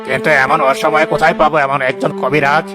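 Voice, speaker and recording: Man as cartoon village chief, fairly high voice, authoritative, concerned, music behind. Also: flat delivery, quick pace, natural voice. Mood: worried. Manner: authoritative, questioning. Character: stern, concerned male village headman. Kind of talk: Bengali cartoon voice acting.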